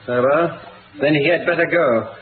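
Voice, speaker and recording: Tinny old radio play, guy as stately british duke, guarded and formal, bit high voiced. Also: normal speed, natural voice. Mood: haughty, guarded. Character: dignified, guarded, haughty English duke. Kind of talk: 1960s radio drama acting. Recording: tinny old radio drama, faint noise.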